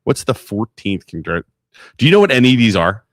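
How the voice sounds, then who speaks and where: A man's voice: on the low side, a little fast, no room sound, clean studio sound. A man from co-hosting a local podcast, chatting casually and laughing through jokey stories. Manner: animated, laughing, jokey storytelling. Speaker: podcast co-host. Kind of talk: casual chat.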